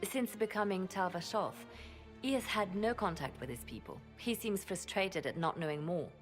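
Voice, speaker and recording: An actress voicing a composed woman spymaster who briefs her leader in measured, precise sentences in a game cutscene. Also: mid-range voice, faint music behind, lightly acted. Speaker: advisor. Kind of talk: video game cutscene dialogue.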